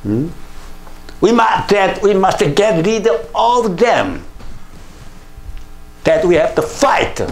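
Slow, gravelly older Korean preacher. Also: male voice, high voice. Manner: slow, emphatic preaching with frequent hallelujahs and broken English. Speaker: pastor. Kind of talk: sermon to camera. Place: plain studio room, indoors.

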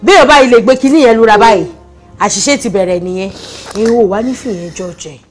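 A woman's voice: a little high, quick pace, soft background music, natural voice. A middle-aged actress playing a mother who scolds and counsels her son-in-law. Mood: counselling. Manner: firm, scolding, switching Yoruba and English. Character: firm motherly Nigerian matron, stern counsel. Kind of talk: Nollywood drama acting.

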